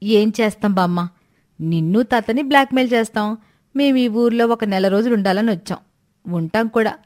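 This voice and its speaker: A woman's voice: fairly high pitch, moderate pace, darkish tone, close to an everyday voice. A woman voicing a cheeky, scheming young village woman in a moral storybook tale, bold, coaxing and insistent.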